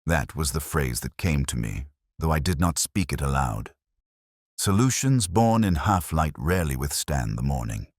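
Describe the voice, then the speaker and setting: Man, extremely low voice, dry close sound, measured and brooding, scripted detective narration. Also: very animated, no room sound. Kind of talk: scripted first-person detective story narration.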